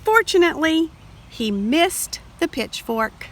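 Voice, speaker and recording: A woman reads a storybook aloud for young children in a fairly high voice. Her pace is very slow and explanatory, with expressive weight on key words. A little noise sits behind her. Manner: explanatory, addressing young listeners directly. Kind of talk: read-aloud picture book narration.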